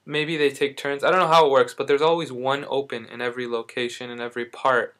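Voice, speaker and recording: A man's voice: medium pitch, little pitch movement, quick pace, fairly bright tone. A young man talking casually in a video diary, rambling and correcting himself, speaking while in back pain. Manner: rambling, self-correcting, lots of fillers. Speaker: vlogger.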